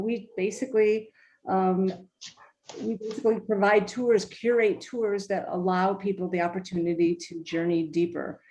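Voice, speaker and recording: A middle-aged woman from Michigan, a travel company CEO, giving an earnest, halting introduction to a virtual tour with many fillers and restarts. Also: fairly low pitch, mellow, rough recording. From Michigan, USA. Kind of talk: casual spoken introduction.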